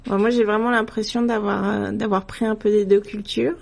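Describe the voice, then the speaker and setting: A middle-aged woman from France, a radio guest talking in a relaxed, thoughtful way with many hesitations and restarts. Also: mid-range voice, normal speed, gravelly. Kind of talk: casual conversational interview.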